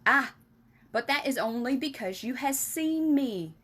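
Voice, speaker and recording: A woman's voice: sits high, expressive, measured pace, fairly bright tone, husky, voice pushed up, full character voice. A woman voicing a big friendly male giant for a children's storybook, exuberant and kindly, with mangled grammar and emphatic nonsense words. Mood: explaining. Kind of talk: animated children's storybook reading.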